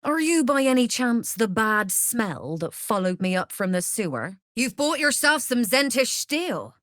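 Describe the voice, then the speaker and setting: Female mercenary leader, video game: calculating, persuasive, commanding. Higher register, slow delivery, close dry sound. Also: sing-song, fairly bright tone, no room sound. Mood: mocking. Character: scheming, smooth-talking female mercenary leader plotting a takeover. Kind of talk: video game character acting.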